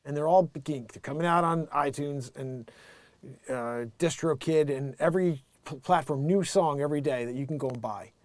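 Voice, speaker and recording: A middle-aged American man hosting a casual livestream, rambling emphatically and excitedly in an unscripted monologue, often acting out bits of quoted conversation. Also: a little high, normal speed, no echo. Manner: animated, rambling, emphatic, quotes himself and others. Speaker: live music show host and musician.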